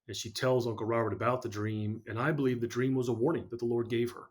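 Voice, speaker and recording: A man speaking as a podcast guest, telling a personal story in an earnest, measured way. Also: medium pitch, fairly even intonation, husky.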